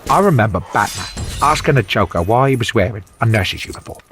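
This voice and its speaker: A man's voice: fairly low pitch, lively intonation, quick pace, noisy background, close to an everyday voice. A man playing an elderly, fussy, dryly witty British butler, narrating game cutscenes in a wry, understated way. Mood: wry, puzzled.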